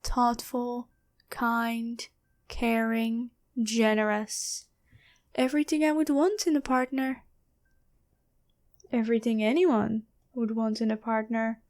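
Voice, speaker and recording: Woman playing a slow, high-voiced taunting demoness. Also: a little mellow. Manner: sly, teasing, deliberate, intimate. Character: taunting female demon possessing and impersonating someone's girlfriend. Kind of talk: ASMR roleplay, addressed to the listener.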